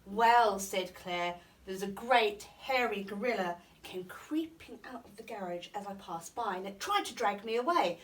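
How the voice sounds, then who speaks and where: A woman's voice: mid-range voice, expressive, normal speed, few pauses, recorded from a distance, poor audio. A woman reading a children's bedtime story aloud with expression, as a school storytime reader.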